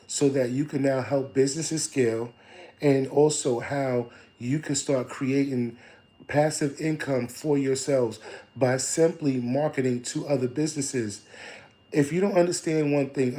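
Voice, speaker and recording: A man coaching on digital marketing in a casual, preachy live stream. He, is slightly hoarse, repeats his lines and calls listeners family. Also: mid-range voice, mellow, rough recording.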